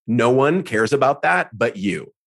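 Man, animated, conversational, laughs often, video call. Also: mid-range voice, a little slow, vocal fry. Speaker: interview guest, on-camera coach. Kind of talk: casual video call interview.

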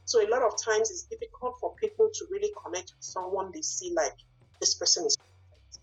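A woman from Nigeria with a Nigerian accent, whose first language is not English, answering interview questions and often pausing and restarting to find her words. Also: medium pitch, wildly swooping pitch, breathy edge, dull audio, soft background music. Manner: searching for words, frequent fillers and restarts. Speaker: guest, lawyer and business owner.

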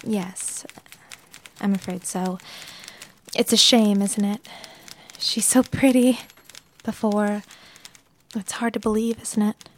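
A woman's voice: medium pitch, steady tone, slow, long pauses. A woman playing a genteel, kindly bride-to-be and office confidante in a period drama, chatty with hesitant asides. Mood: regretful.

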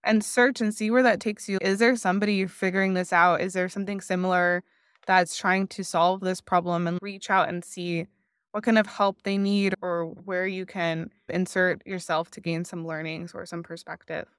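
A woman's voice: on the low side, little pitch movement, moderate pace, smooth, dry recording, studio quality. A woman from Miami, a podcast guest, talking in a reflective, earnest way and often saying "like". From Miami, United States. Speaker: podcast guest, customer success leader. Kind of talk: conversational interview.

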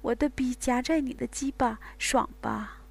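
A woman acting as a breathless, moaning adult lover. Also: normal speed. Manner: moaning, high and breathy cries.

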